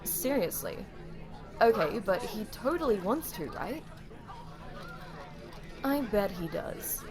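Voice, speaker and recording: A woman's voice: medium pitch, big pitch swings, takes it slowly, a crowd in the background. A woman playing a chatty, gossipy young office friend in an audio roleplay, talking in a playful, conversational way. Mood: teasing.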